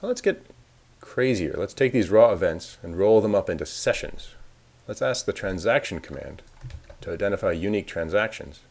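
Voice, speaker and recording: A man's voice: low voice, lively intonation, normal speed, gravelly, darkish tone. A man narrating a casual screencast tutorial as the presenter, informally thinking aloud as he works. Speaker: software presenter giving a demo.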